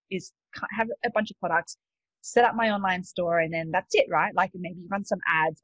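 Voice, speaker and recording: A woman's voice: mid-range voice, dry recording. A woman with an Australian accent, a guest coach chatting casually, laughing often and using many fillers. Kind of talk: casual webinar talk. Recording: dull lo-fi dry sound.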